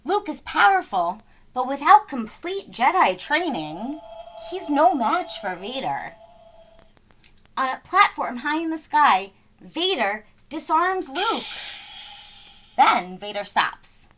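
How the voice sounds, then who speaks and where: A woman reads a storybook aloud in a fairly high voice, unhurried and deliberate. She stresses words dramatically, over faint background noise. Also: big pitch swings, fairly bright tone, low-quality recording. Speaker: storyteller reading a children's book aloud. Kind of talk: expressive scripted read-aloud narration.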